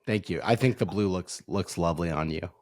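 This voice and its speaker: A young man co-hosting a casual sports podcast. His voice is hoarse and raspy, and he talks in a laid-back, self-deprecating way. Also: sits low, brisk, a little mellow. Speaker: podcast co-host.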